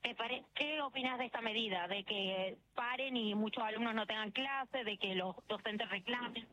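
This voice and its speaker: A female field reporter with an Argentine Rioplatense accent, speaking live for radio. Her voice is high and bright, and she reports on the spot and questions passers-by. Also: moderate pace, no echo. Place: outside a university building on a city square.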